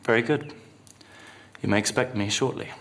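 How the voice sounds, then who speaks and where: A man playing a cool, scornful and insistent gentleman libertine in a dramatized memoir, speaking formally and sardonically. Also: mid-range voice, normal speed, lightly acted. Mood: eager.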